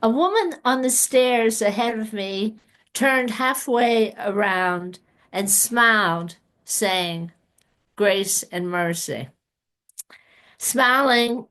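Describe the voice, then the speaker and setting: A woman poet reading a personal piece at an online open mic in a plain, measured way. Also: medium pitch, a bit bright. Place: online video call.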